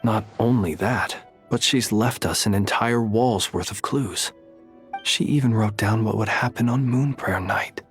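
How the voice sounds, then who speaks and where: A man playing a courteous, composed gentleman in a video game, speaking with polite, dry understatement. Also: fairly low pitch, measured pace, faint music behind, close to an everyday voice. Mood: thoughtful. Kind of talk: video game cutscene dialogue.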